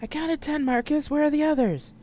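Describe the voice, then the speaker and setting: A narrator voicing a commanding male intelligence chief in an audiobook story, brisk and urgent. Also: medium pitch, lively intonation, darkish tone, a little hiss or hum, pitched above the performer's own voice, natural voice. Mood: shouting, urgent. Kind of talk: audiobook character dialogue.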